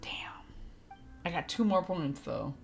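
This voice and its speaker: Female streamer, casual gameplay chat: gravelly low voice with lively swings, reactive and informal. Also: takes it slowly. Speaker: game streamer. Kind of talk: casual live gameplay commentary.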